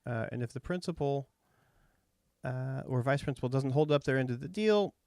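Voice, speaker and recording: A man in his thirties from Texas with a light Texas accent, hosting a relaxed, folksy interview and thinking out loud with fillers. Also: mid-range voice, normal speed, creaky, dry recording, clean studio sound. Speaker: podcast host. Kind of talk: casual interview chat.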